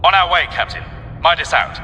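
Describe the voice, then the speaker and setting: A man playing a brisk, obedient space marine sergeant in a video game, reporting in clipped military radio style. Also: fairly high pitch, pushed and bright. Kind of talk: video game cinematic acting. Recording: reverberant radio call with noise.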